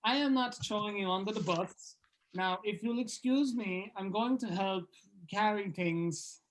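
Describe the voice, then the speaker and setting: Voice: medium pitch, unhurried, a little hiss or hum, natural voice, rough recording. An actor in an informal table read playing a bitter, sardonic male musician overshadowed by a brother. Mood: resentful.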